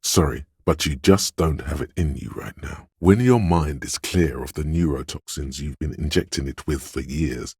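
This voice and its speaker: A man voicing a slick, suave inner voice that narrates a game in the second person, measured and wryly theatrical. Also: no echo, clean studio sound, exaggerated voice. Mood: brooding, measured. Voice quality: very deep, husky.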